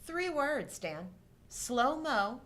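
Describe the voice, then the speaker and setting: A woman's voice: high voice, big pitch swings, drawn-out words, a bit bright. A middle-aged woman co-hosting a live show, chatting casually about films. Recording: faint background noise.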